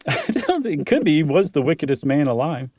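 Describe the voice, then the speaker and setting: A man's voice: a little high, huge pitch swings, normal speed, darkish tone, dry recording, studio quality. A man reading a story aloud and commenting on it in casual talk. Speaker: co-host reading horror stories aloud.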